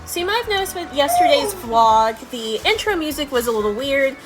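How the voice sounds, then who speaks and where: A woman's voice: sits high, big pitch swings, a little fast, music in the background. A woman hosting a video editing tutorial in a casual, chatty, informal way.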